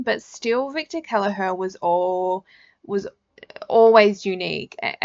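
A woman's voice: mid-range voice, gravelly, fairly bright tone, no echo, highs cut off. An Australian woman hosting a podcast, asking questions and chatting casually about books in an interview.

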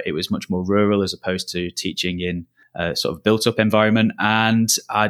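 A man's voice: lowish voice, a bit bright. A man in his thirties with a Lancashire accent, a school's director of learning, answering interview questions in an earnest, thoughtful way with frequent restarts. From Lancashire, England. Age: 30s. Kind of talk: podcast interview.

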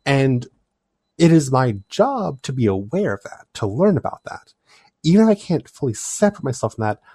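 A man in casual discussion, explaining his ideas in a thoughtful, animated way. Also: medium pitch, husky, dry recording.

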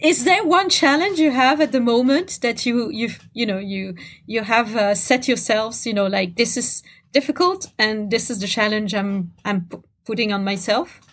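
A woman co-host with a higher-pitched voice puts questions to the guest. She restarts her phrases and fills them with you-knows and uhs. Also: fairly bright tone. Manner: halting, many fillers. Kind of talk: interview conversation.

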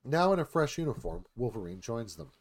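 A man's voice: mid-range voice, sing-song, fairly quick. A man reading stage directions as scripted narration for a live radio play.

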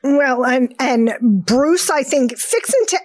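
A woman co-hosting a podcast, bubbly and quick to laugh as she chats. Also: sits high, dry recording. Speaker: podcast co-host. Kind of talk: casual podcast interview.

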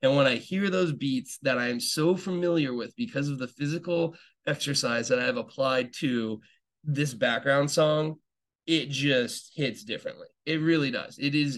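A man's voice: mid-range voice, fairly bright tone. Chatty man rambling with many fillers. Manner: enthusiastic fan talk. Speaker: podcast host. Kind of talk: casual rambling monologue.

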